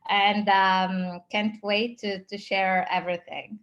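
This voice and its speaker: A woman's voice: medium pitch, little pitch movement, slow pace, fairly bright tone, lo-fi sound. A woman in her thirties from Tunisia with a Tunisian accent, an interview guest telling her personal story informally. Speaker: startup founder, interview guest.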